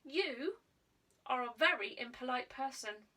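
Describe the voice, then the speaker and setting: A woman voicing an earnest, proper schoolboy who talks primly and turns shrill when he protests. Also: expressive, unhurried, sharp tone, slightly rough, distant mic, full character voice. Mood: outraged. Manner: prim and self-important, rising to shrill protest.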